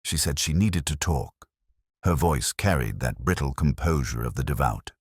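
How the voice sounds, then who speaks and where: Guy acting as a weary police inspector narrating a detective drama, super low voice, really varied intonation, brooding and measured. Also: lively intonation, husky, dry recording, studio quality, full character voice. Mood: brooding, reflective. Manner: measured, brooding, literary delivery. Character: weary, razor-minded middle-aged English male police inspector narrating his case. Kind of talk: scripted first-person detective audio drama narration.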